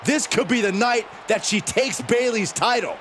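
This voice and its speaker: A man's voice: very high voice, moderate pace, background noise. A man playing a wry wrestling commentator in a video game, calling the action at ringside. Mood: wry, eager. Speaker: sports commentator.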